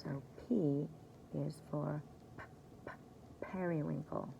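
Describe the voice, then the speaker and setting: A woman reading aloud for young children like a preschool teacher, in a simple, deliberate way, repeating key words. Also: sits low, fairly even intonation, vocal fry, mellow, lo-fi sound, slight background noise. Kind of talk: children's story time read-aloud.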